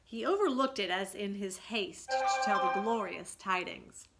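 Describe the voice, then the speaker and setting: Woman, read-aloud storyteller, unhurried, bright, expressive, teacherly. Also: mid-range voice, vocal fry, soft background music. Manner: dramatic, teacherly. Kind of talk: expressive children's story reading with questions to listeners.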